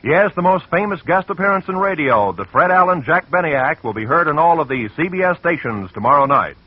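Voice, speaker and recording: A male radio announcer reading credits and promos in a crisp, formal broadcast manner, on an old lo-fi broadcast. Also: on the high side, expressive, brisk.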